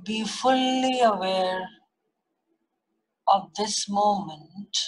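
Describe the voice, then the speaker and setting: A woman leading a guided meditation, giving gentle, unhurried instructions in short repeated phrases with long pauses. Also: medium pitch, rough recording. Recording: music behind the voice. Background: meditation music.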